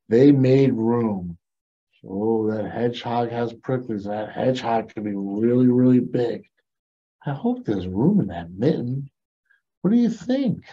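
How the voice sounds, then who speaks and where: A middle-aged American man reads a picture book to children at an unhurried pace. His voice is mellow and gravelly, and he pauses expressively and adds chatty asides. The audio is a little dull, as from a webcam. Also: medium pitch. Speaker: storyteller reading a picture book aloud. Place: small decorated indoor room.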